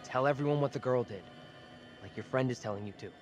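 A man's voice: mid-range voice, fast talker, muffled recording, faint music behind, lightly acted. An actor playing a pushy young man in a horror game, talking in casual, slangy, urgent phrases. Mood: earnest. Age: young adult. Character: blunt, pushy college-age guy in a horror game. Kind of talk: video game cutscene drama.

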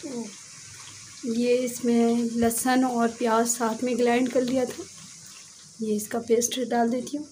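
A woman's voice: fairly high pitch, level tone, slow, warm tone. A woman hosting a home cooking video, talking casually and explaining each step as she cooks at a gas stove. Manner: chatty, explains each step and says "theek hai" often. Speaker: home cooking channel host. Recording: noisy kitchen, echoey and distant.

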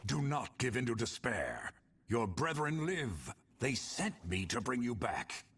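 An actor playing an earnest, urging male hero in a video game, with a husky voice. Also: mid-range voice, sing-song, measured pace, fairly bright tone, heavily acted.

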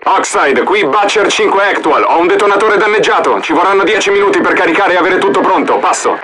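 An actor playing a male mercenary soldier giving brisk radio reports from the field. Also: sits high, animated, a crowd in the background. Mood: terse. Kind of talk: video game voice acting.

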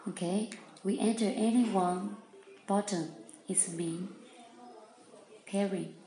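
Slow, halting non-native English-speaking woman, echoey room. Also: mid-range voice, warm tone. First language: not English. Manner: halting, simple broken phrases, repeats instructions. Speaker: product demonstrator. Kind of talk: step-by-step tutorial instruction. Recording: echoey room with background noise.

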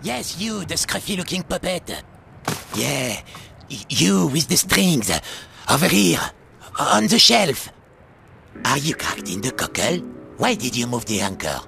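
A man's voice: sing-song, measured pace, vocal fry, pauses often, background noise, heavily acted. Man doing a rude blustering hermit crab. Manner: cheeky, blustering, swings high and low.

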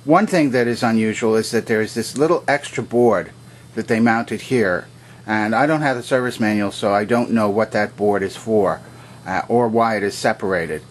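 A man's voice: lowish voice, moderate pace, slight background noise. A man giving an unscripted, methodical demonstration, explaining things step by step in a plainly instructional way. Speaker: presenter of vintage electronics demonstrations.

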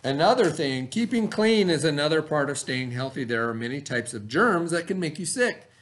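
A middle-aged American man, an elementary teacher, reading a lesson aloud to children in an expressive, instructional manner. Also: on the high side. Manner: expressive, instructional, pauses to ask the listener questions. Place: small indoor classroom, at a desk.

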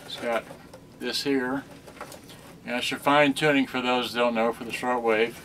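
A man's voice: mid-range voice, steady tone, a little slow, a little hiss or hum. An older man giving a casual, offhand, rambling product demonstration as he handles gear. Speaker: product reviewer. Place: indoor carpeted room. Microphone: camera a few feet away. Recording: distant camera microphone, loud radio music. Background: music from a cassette radio.

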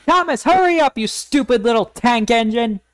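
Man voicing a vain, high-pitched grumpy engine. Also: expressive, normal speed, background noise. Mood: bossy. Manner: indignant complaining. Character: vain, grumpy, self-important male engine.

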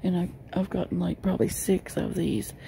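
Chatty woman with a gravelly, mellow voice. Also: lowish voice, animated, normal speed, slight background noise. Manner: chatty, many "um" and "uh". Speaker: shopping vlogger. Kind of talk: casual store walk-through. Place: inside a large discount store. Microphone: handheld phone camera.

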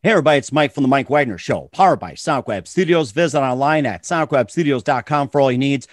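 A man's voice: mid-range voice, lively intonation, quick pace, no room sound, soft background music. A man hosting a podcast, reading out sponsor messages and show promos in a salesy way. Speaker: podcast host.

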